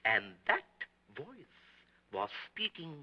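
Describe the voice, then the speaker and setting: An actor playing a stubborn German restaurant owner with a German accent, giving testimony insistently on a muffled 1930s film soundtrack. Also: male voice, on the high side, very animated, slow pace.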